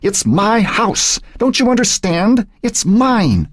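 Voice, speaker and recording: A man's voice: rumbling low, very animated, measured pace, a little mellow, husky, no room sound, studio quality, exaggerated voice. A man voicing an angry, confused and stubborn old woman in an audiobook story, agitated and demanding. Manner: demanding, agitated, hissing in anger.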